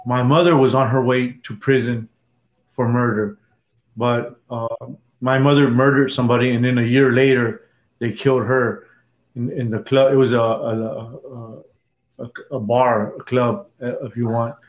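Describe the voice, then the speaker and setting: Halting man in his sixties. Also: medium pitch, little pitch movement. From Dallas, Texas. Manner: halting, rambling storytelling with many restarts. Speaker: interviewee, former prison gang member. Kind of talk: personal life story in an interview. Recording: echoey room, dull lo-fi sound.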